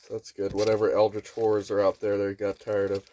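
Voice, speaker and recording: A man's voice: fairly low pitch, completely flat delivery, fairly quick, dark tone. A man with a nasal voice talking in a rambling, casual vlog monologue at home, restarting often and swearing casually. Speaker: vlogger. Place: home kitchen near a microwave.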